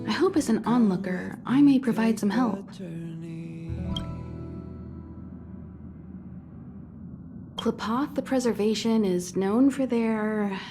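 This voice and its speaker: An actress playing a composed, kindly mentor of space travellers in a video game, explaining things in a poised, reassuring way. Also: female voice, medium pitch, warm tone, close to an everyday voice. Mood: composed, earnest. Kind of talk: video game voice acting, measured dialogue. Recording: background music under studio voice.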